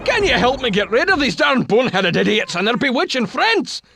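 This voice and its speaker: An actor playing an exasperated, feisty gravedigger in a video game, with a high, creaky voice. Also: male voice, big pitch swings, fairly quick, noisy background, exaggerated voice. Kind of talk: video game cutscene acting.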